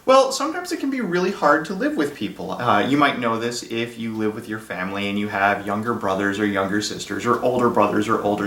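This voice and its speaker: A man's voice: lowish voice, fairly bright tone. A man speaking as an interview guest, explaining ideas simply for children in a conversational way with everyday examples. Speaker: guest, philosophy lecturer. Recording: roomy echo, distant from microphone.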